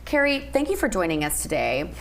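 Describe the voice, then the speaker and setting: A woman's voice: on the low side, very animated, a little fast, fairly bright tone. A woman hosting a broadcast news interview, speaking as a TV anchor to a guest.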